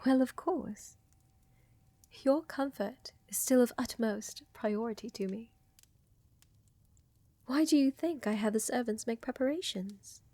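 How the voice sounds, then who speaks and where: Woman acting as a vampire countess, highish soft voice, whispery, assured, seductive and tender, formal wording, intimate ASMR roleplay, very dry close-mic sound. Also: unhurried, long pauses, dry recording. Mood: tender, reassuring. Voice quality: soft, breathy, often dropping to a whisper. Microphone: close microphone, dry.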